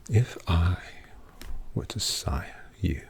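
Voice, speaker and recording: A man playing a gravelly whispering old vampire. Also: deep voice, lively intonation, takes it slowly, full character voice. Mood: snide whisper. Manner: snide, drawling and intimate. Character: old sardonic male vampire painter, condescending. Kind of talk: ASMR roleplay.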